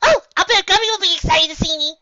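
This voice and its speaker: A woman voicing a bubbly, exuberant young female pony in a cartoon fan story, high, loud and bouncy. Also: quick pace, no room sound, lo-fi sound. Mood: excited. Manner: exclaiming, bouncy.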